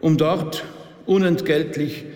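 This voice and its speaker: An actor playing a grave, tender rabbi delivering a measured funeral eulogy in a radio play. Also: male voice, on the high side, steady tone, a little mellow. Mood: solemn, mournful. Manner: measured, deliberate, solemn. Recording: echoey hall with long reverb.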